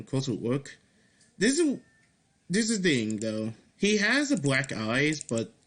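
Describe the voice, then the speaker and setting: A man giving casual devlog commentary as a game developer, speaking haltingly with repeated words and mild swearing. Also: medium pitch, a bit bright. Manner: halting, repeats and restarts phrases. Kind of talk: casual screen-recorded commentary, reading and answering feedback.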